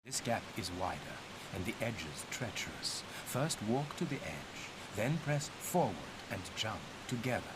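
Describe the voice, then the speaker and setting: An actor playing a stern, condescending older archaeologist mentor in a video game, speaking formally and instructively. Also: male voice, lowish voice, very animated, measured pace, hardly any pauses, noisy background. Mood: patronizing, instructive. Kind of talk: video game cutscene and tutorial acting. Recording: long cavernous reverb.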